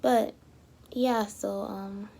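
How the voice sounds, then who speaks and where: A young woman vlogging casually to her viewers, a little hoarse as she loses her voice, rambling with lots of fillers and restarts. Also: mid-range voice, fairly even intonation, drawn-out words, dark tone, a little hiss or hum. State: slightly hoarse, losing her voice. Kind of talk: casual talk to camera.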